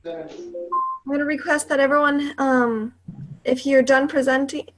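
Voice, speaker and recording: A woman's voice: high voice, a little slow, a bit bright, soft background music, poor audio. A woman hosting a video call, calling on participants one by one in a breezy way. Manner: breezy, prompting speakers in turn. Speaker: call host.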